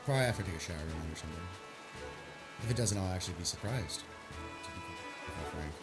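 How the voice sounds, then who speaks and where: A man's voice: low voice, expressive, fast, loud music behind the voice. A man streaming a game, chatting casually and rambling, stuffy from a sinus headache. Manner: rambling, laid-back, swears casually.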